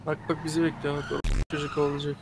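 Man, quick speech, slightly high, casual game banter, loud background noise. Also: monotone. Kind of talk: casual role-play banter. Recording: very noisy game voice chat.

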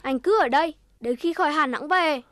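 A woman voicing a gentle, naive, earnest little rabbit in a children's cartoon. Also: high-pitched, fairly quick, no room sound, studio quality. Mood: kind, tired. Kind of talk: Vietnamese children's cartoon acting.

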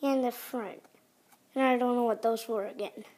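A woman who is sick and sounds raspy, hosting a casual home review vlog indoors, talking hesitantly with many restarts into a phone held close. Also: a little high, fairly even intonation, moderate pace. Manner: hesitant, restarts often, quiet and mumbly at times. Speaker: channel host. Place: indoor carpeted room at home.

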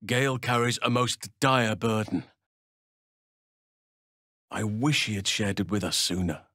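A man voicing a noble, gentle-mannered archdruid in a fantasy video game, speaking in a measured, earnest, formal way. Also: fairly low pitch, no echo, studio quality. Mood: grave, measured. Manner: measured, earnest, formal, old-fashioned phrasing.

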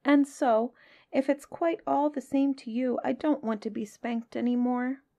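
A woman playing a high-pitched, earnest little elephant child. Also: fairly even intonation, measured pace, mellow. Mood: polite, pleading. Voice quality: nasal when nose is caught. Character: polite, curious young male elephant child, eager and plaintive. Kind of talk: storybook character voice.

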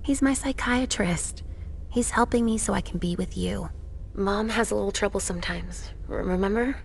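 An actress playing a troubled, tender vampire seer mother in a video game, speaking in a hesitant, halting way. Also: mid-range voice, vocal fry, slight background noise, lightly acted. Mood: tender, reassuring. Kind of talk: dramatic game cutscene acting.

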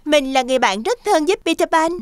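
A tiny cartoon fairy played by a woman, eager and friendly. The voice is very high and sweet, recorded close and dry. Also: expressive, quick pace, no room sound.

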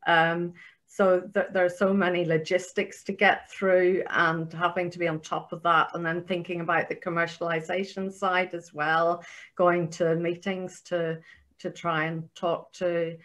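A woman scientist answering a panel question, talking haltingly with many restarts. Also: fairly low pitch, level tone, fairly bright tone.

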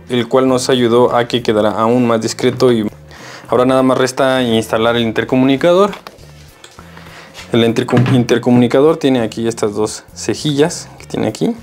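Low-voiced Mexican man, casual tutorial. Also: normal speed. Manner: informal, slangy. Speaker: motorcycle vlogger giving a tutorial. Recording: loud music behind the voice.

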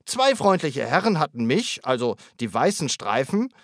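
A man's voice: medium pitch, very animated, moderate pace, no room sound, clean studio sound. A man voicing a friendly, shy yet proud talking zebra in a children's audio play, rambling with childlike enthusiasm. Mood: chatty, rambling. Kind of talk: children's audio drama acting.

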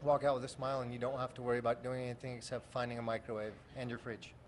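A man's voice: mid-range voice, flat delivery, very fast. Man explaining his meal service plainly. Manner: matter-of-fact explaining. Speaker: meal prep company owner. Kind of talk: interview answers. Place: meal prep shop, indoors. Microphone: handheld mic.

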